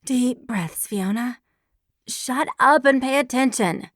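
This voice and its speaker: A woman's voice: a little high, slow pace, dry recording, studio quality, voice pushed up. A woman voicing a bossy, teasing young woman friend on the phone in an audiobook. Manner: teasing, pushy.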